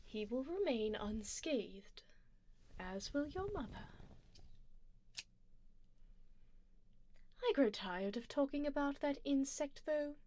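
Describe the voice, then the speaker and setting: A haughty vampire duchess acted by a woman murmurs in refined, formal phrases for an ASMR roleplay. Her voice is high and swings widely in pitch, slipping into breathy whispers right at the listener's ear. She is coolly menacing yet doting. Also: expressive, unhurried, warm tone, a little hiss or hum. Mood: indulgent, composed. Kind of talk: ASMR roleplay, close personal attention. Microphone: close binaural ASMR microphone.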